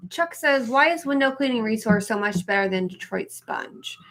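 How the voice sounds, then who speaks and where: A woman's voice: fairly high pitch, animated, moderate pace, fairly bright tone. A woman in her thirties co-hosting a casual live question-and-answer chat, speaking in a blunt, informal way. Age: 30s. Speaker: co-host of a trade channel.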